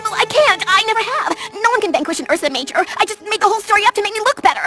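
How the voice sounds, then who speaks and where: A woman's voice: very high-pitched, animated, rapid-fire, loud music behind the voice. A woman voicing a boastful, theatrical female unicorn magician in a cartoon, with a pompous, grandiose manner. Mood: panicked confession. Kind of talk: animated cartoon acting.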